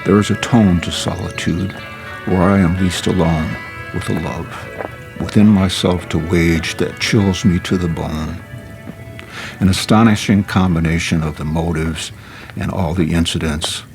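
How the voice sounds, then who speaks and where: A man reading spoken-word poetry in a solemn, measured, deliberate way, recorded in a studio. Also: deep voice, fairly even intonation, vocal fry. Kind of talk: dramatic poetry recitation. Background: music.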